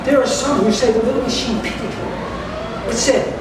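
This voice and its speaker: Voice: medium pitch, steady tone, normal speed. Very echoey, distant live story reading. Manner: narrative reading with stumbles and repeated words. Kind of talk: live reading of a steampunk fairy tale. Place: live event space at a carnival. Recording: very echoey, noisy room, distant mic.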